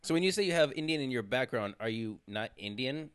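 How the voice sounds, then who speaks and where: A man's voice: medium pitch, lively intonation, a little fast, a bit bright, no echo. A man co-hosting a podcast, asking playful, probing questions in casual chat. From mainland United States. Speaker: podcast co-host.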